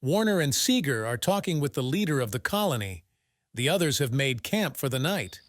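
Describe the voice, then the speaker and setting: A man's voice: medium pitch, very animated, moderate pace, natural voice. A man playing a level-headed, sardonic soldier medic with a blunt, dry manner in an audio comic. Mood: calm, dry. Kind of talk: dramatized audio comic dub.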